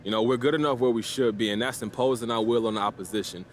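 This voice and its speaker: A man's voice: mid-range voice, steady tone, fast talker, slight background noise, close to an everyday voice. A man playing a confident young pro basketball rookie in a video game, talking in a casual, slangy, self-assured way. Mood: earnest, assured. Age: young adult.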